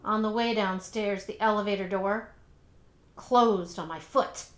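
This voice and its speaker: A woman reading a children's story aloud expressively, with deliberate, emphatic phrasing. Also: medium pitch, fairly even intonation, echoey room. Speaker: storybook reader.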